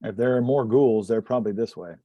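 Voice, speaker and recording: A man's voice: on the low side, brisk, no room sound, muffled recording. A man playing a tabletop role-playing game, talking casually.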